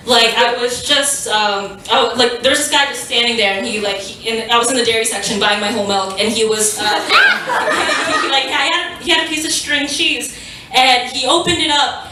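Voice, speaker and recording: A woman's voice: fairly high pitch, sharp tone, a little hiss or hum. A young adult woman doing a stand-up set, rambling and self-deprecating with lots of filler words and restarts. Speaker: stand-up comedian. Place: small venue stage. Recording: echoey room, mic from a distance.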